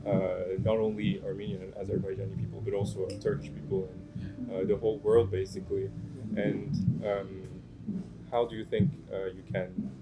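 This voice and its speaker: A man's voice: sits low, level tone, normal speed, loud music behind the voice, rough recording. A man interviewer asking a long question hesitantly, with many fillers.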